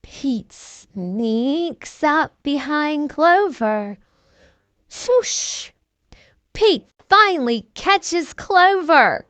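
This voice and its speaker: A woman reading a children's storybook aloud in an expressive, deliberate storytelling manner. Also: high-pitched, no room sound. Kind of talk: scripted read-aloud storybook narration.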